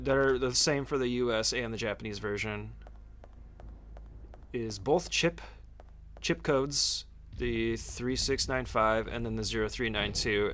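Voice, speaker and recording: A man's voice: lowish voice, fairly bright tone, a little hiss or hum. A man doing casual, conversational speedrun tutorial commentary, explaining each step in an informal way.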